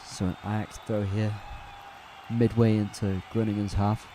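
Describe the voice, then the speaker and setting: A man calling a football match live on a fan watch-along stream, chatty and relaxed, correcting himself as he goes and answering viewers' chat. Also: lowish voice, a little slow, a crowd in the background, background noise. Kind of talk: casual live play-by-play commentary.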